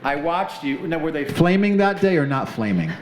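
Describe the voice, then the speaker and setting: A man's voice: fairly high pitch, big pitch swings, normal speed, slight background noise. A man hosting a TV talk show, chatting enthusiastically with guests, with some fillers and restarts. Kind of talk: casual interview chat.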